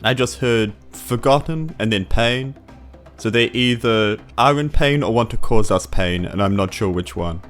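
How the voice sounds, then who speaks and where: A man's voice: lowish voice, unhurried, fairly bright tone, soft background music. A man with a New Zealand accent playing a tabletop game, chatting casually with light good humour. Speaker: player in a tabletop game podcast. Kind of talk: casual table chat and roleplay.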